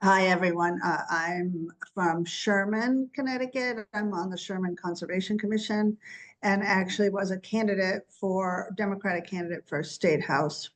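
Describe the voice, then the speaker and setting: A woman's voice: medium pitch, moderate pace, rough recording. A woman introducing herself at a workshop, talking at length and adding detail after detail. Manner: chatty, runs on with details. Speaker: workshop participant. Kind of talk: self-introduction in a meeting.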